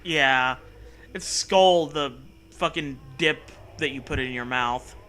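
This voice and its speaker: A man's voice: mid-range voice, takes it slowly, bright, forceful tone, a little hiss or hum. A man hosting a comedy show, reading a story aloud mockingly and riffing in a sarcastic, profane, exclamatory way.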